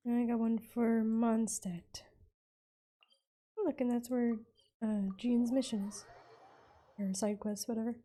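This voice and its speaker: A woman's voice: fairly high pitch, little pitch movement, takes it slowly, long pauses, dark tone. A young woman giving casual, slangy, offhand commentary while streaming a game. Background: faint game music.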